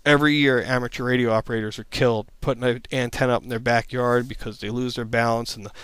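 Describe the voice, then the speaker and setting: A man's voice: medium pitch, fairly even intonation, fast, fairly bright tone, smooth, no room sound. A man narrating an informal slide presentation as an instructor, explaining things in a plain-spoken, matter-of-fact way.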